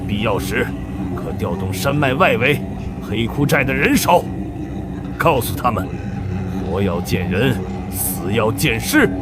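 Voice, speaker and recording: A low, commanding villain master, played by a man, issues cold orders with a wide pitch range. He sounds ruthless, in a motion comic under loud music. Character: ruthless male master ordering his henchmen. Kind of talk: animated motion-comic drama acting.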